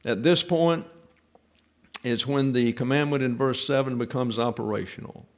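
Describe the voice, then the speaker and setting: A male pastor gives a Bible teaching sermon in an explanatory, conversational way, stopping often with fillers and restarting phrases. His voice sits at an ordinary middle pitch. He presses firmly on the key commands he wants the congregation to take home.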